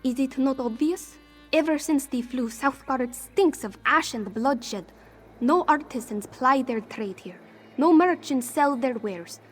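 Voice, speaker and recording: High-voiced scrappy cat-folk thief played by a woman. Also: expressive, a little slow. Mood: bleak, earnest. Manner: refers to herself in third person, formal contraction-free phrasing. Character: anxious, scrappy female cat-folk thief, defensive and street-hardened. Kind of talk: fantasy game character dialogue.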